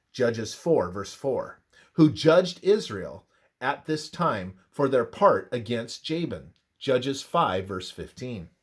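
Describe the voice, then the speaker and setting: Guy reading a bible study script, slow and deliberate, pitch moves up and down a lot. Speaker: reader of a religious devotional. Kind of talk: scripted narration of a Bible study, read aloud.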